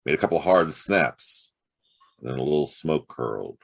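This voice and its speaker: A tough wry detective, real deep voice, swings around a lot, noir radio play style. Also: male voice, expressive, moderate pace, creaky, exaggerated voice. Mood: brooding, wry. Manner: terse, wry, slangy tough talk. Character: hard-boiled, wry private detective narrating his case. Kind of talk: noir audio drama acting and narration.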